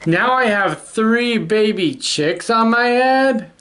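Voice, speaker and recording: A storyteller voicing a cheerful, matter-of-fact pig friend from a picture book, explaining in a deliberate singsong. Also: mid-range voice, animated, fairly bright tone, a little hiss or hum, pitched above the performer's own voice. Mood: delighted.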